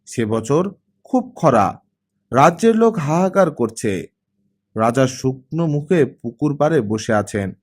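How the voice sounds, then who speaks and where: A man's voice: medium pitch, animated, slow pace. A man voicing a friendly, easygoing male teacher who likes telling stories in an audiobook. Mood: storytelling.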